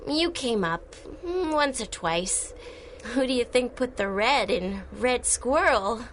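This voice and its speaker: High-pitched confident young heroine, noisy. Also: female voice, expressive, takes it slowly, a bit bright. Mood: wistful, teasing. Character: confident, playful young female time-traveling superhero. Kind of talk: radio drama acting.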